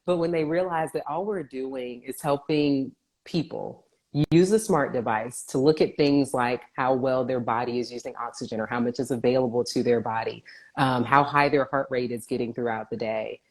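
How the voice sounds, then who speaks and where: A woman's voice: very deep voice, a little mellow. A woman physical therapist talking earnestly as a guest in a casual live video interview. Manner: earnest, explanatory. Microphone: video call.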